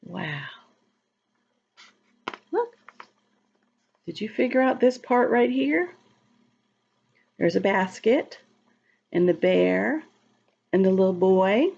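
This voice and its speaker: Woman reading slowly and expressively to children. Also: mid-range voice, creaky, slightly rough, highs cut off. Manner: expressive, drawn-out words, addresses child listeners directly. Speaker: children's storybook reader. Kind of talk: read-aloud to young children, chatting and asking questions.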